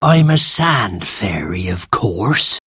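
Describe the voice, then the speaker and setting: A hoarse, ancient sand fairy from a children's storybook as a narrator acts him out. He is grumpy and drags his words out very slowly. The voice is husky and closely, drily recorded. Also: deep voice, sing-song, a bit bright, dry recording, studio quality, full character voice. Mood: matter-of-fact, gruff. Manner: slow, drawn-out, irritable delivery.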